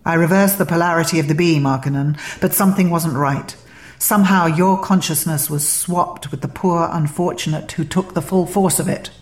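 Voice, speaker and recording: A woman narrator voicing an eccentric, breezy male alien adventurer in a story, brisk, playful and given to lecturing. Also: on the low side, steady tone, reverberant, natural voice. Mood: earnest, proud.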